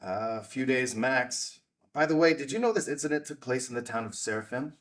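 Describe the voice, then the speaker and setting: A man's voice: medium pitch, animated, fairly quick, fairly bright tone, natural voice. Man playing Ben, weary widower, distant mic. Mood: subdued. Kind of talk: table read of film script.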